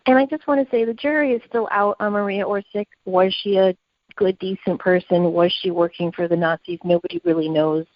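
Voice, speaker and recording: A woman's voice: mid-range voice, steady tone, brisk, dry recording, muffled recording. A woman co-hosting a conversational podcast, speaking in an earnest, opinionated way. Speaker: podcast co-host.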